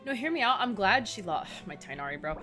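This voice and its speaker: A woman's voice: mid-range voice, sing-song, a little fast, bright, forceful tone. A young adult woman commenting casually on a game livestream, slangy, reactive and rambling. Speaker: game streamer. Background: game music.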